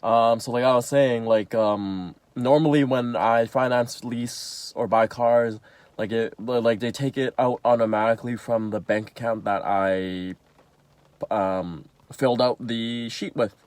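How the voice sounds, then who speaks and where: A man's voice: mid-range voice, steady tone, measured pace, darkish tone, clean tone, no echo. Nasal, rambling young man with a cold. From Canada. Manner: rambling, many fillers and restarts. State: sick, under the weather. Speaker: vlogger. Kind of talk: casual rambling vlog.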